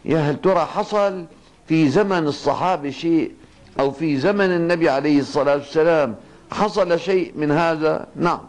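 A man's voice: fairly high pitch, darkish tone, poor audio. Older Levantine sheikh preaching, fatherly. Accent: Levantine Arabic. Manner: fatherly, addresses listeners as "my son", slow emphatic repetition. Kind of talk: Ramadan evening sermon lesson. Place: mosque, seated at a pulpit desk. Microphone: two stand microphones on the desk.

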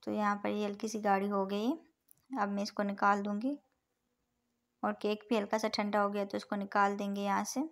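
A woman with an Urdu-influenced accent talks viewers through a recipe as a cooking channel host. Her voice has a gravelly edge and stays very even in pitch. She explains each measure in a calm, patient voice-over. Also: moderate pace. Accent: Urdu-influenced Hindustani. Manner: calm, instructive, counts out measures. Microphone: voice-over over overhead cooking shots.

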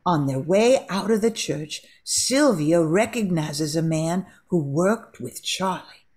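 A woman's voice: on the low side, animated, takes it slowly, darkish tone, slightly rough. An older woman reading scripted narration for an amateur radio drama.

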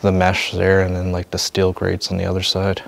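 A man narrating a walk through an abandoned building, calmly pointing things out as he goes. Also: normal speed, gravelly. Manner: low-key, observational, thinking aloud. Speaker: urban explorer host. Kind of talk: walking vlog narration.

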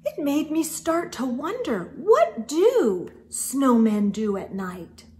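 Animated, high-voiced woman reading to children. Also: slow, a little hiss or hum. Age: middle-aged. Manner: animated, sing-song, addressing children directly. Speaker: host of children's storytime show. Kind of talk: expressive read-aloud of a picture book for children. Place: indoors in a room lined with bookshelves.

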